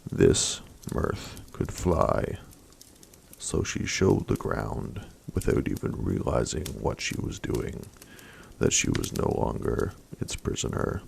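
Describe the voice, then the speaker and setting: A man reading a story aloud as soft-spoken ASMR, in a breathy, hushed voice that often drops to a whisper. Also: very deep voice, sing-song, slow.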